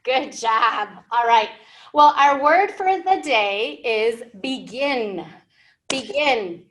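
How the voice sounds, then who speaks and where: A woman's voice: on the high side, lively intonation, slow pace, a bit bright, a little hiss or hum. An American woman in her thirties hosting an interactive storytime for young children, speaking in simple, encouraging, teacherly phrases and asking questions. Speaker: library children's storytime host. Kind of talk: interactive storytime lesson for young English learners. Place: indoor library room, seated at a whiteboard.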